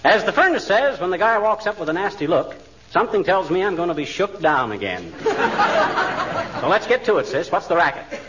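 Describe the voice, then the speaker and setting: Lively, blustery American radio husband. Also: male voice, sits high, normal speed. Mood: wisecracking. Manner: folksy, blustering, wisecracking. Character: blustery, folksy middle-aged husband, wisecracking and easily flustered. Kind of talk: 1940s radio comedy acting. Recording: noisy, dull vintage radio recording with clicks.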